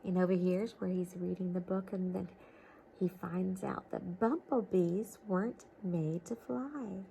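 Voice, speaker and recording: A woman's voice: fairly low pitch, fairly even intonation, a little slow, gravelly, warm tone, a little hiss or hum, rough recording. A woman reading a picture book aloud to children, expressively, with coaxing questions and asides to her listeners.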